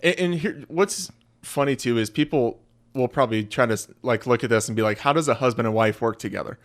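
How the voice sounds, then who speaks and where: A man's voice: medium pitch, fairly quick. A man hosting a podcast, chatting casually in an upbeat, joking way. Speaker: podcast host. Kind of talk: casual chat.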